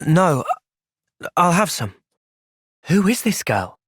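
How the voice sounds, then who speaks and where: A man with a British accent playing a thoughtful, gentle young soldier in video game cutscenes, measured and earnest. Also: high voice, big pitch swings, husky, no echo, clean studio sound, full character voice. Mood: calm, earnest.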